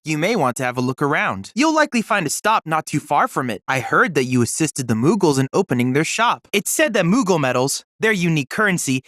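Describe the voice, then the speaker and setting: A man's voice: on the high side, sing-song, brisk, hardly any pauses, a bit bright, dry recording, clean studio sound. A young man playing an earnest, scholarly android boy research assistant in a video game, speaking with formal, precise, courteous diction. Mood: helpful, informative. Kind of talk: scripted video game dialogue.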